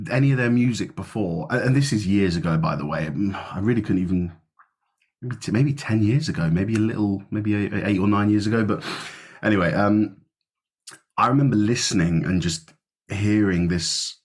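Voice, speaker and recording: Low husky guy with a cold, sniffly, chatty podcast host laughing along. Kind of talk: casual chat. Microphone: video call.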